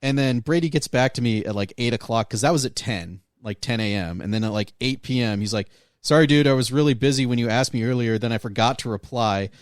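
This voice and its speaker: A man's voice: mid-range voice, fast talker, smooth, no echo. Dry-miked American man in casual chat. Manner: informal, quotes other people as he goes. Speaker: podcast co-host. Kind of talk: casual chat, telling anecdotes.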